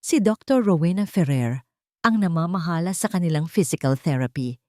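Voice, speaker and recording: A woman voicing a cold, demanding wealthy father who speaks flatly and shows no emotion. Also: lowish voice, moderate pace, no echo, studio quality, natural voice. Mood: matter-of-fact. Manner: flat, icy and demanding. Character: cold stern wealthy middle-aged father.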